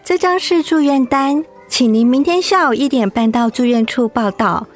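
A woman's voice: sits high, moderate pace, soft background music, natural voice. A woman playing a polite, efficient hospital nurse in a radio drama. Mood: polite, businesslike.

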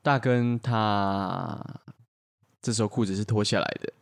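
A man's voice: lowish voice, fairly even intonation, measured pace, creaky. A man co-hosting a podcast, improvising a playful story and bantering with friends.

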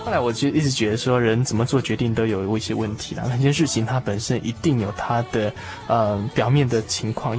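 A man hosting a conversational discussion of faith in a reflective, explanatory way. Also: medium pitch. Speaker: radio talk show host.

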